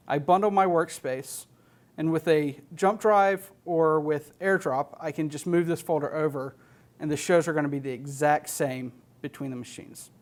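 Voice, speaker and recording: A man in his thirties with an American accent and a slightly high voice gives an instructional presentation. He is plain-spoken and matter-of-fact, explaining things step by step as a technician. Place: indoor studio stage with LED wall.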